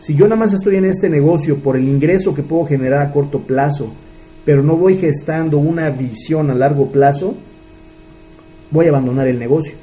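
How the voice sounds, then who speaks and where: A man's voice: fairly high pitch, fairly quick, dark tone, poor audio. A man in his thirties with a Mexican accent, giving an earnest motivational business talk and asking rhetorical questions. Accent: Mexican Spanish. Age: 30s. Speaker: business mentor.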